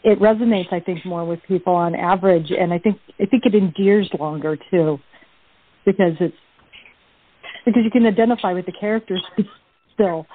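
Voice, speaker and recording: Halting woman on a tinny phone line. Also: lowish voice, slight background noise. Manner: halting, repeats words while explaining. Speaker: cast member. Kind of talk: casual interview chat.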